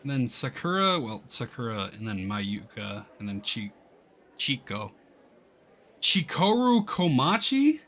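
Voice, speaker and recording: Bright-voiced man, rambling gaming host. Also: mid-range voice, very animated, a little hiss or hum. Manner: rambling, halting, self-interrupting. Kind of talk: casual let's-play commentary, reading game text aloud.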